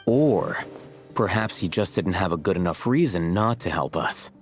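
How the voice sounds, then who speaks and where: A man's voice: sits low, expressive, normal speed, faint music behind. An actor playing a cool young male companion in video game dialogue, dry and blunt.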